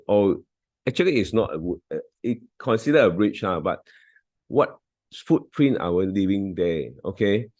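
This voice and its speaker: A man's voice: sits low, darkish tone, no room sound, clean studio sound. A man with a Malaysian English accent, not a native English speaker, giving a hesitant volunteer talk with many fillers and restarts over a video call.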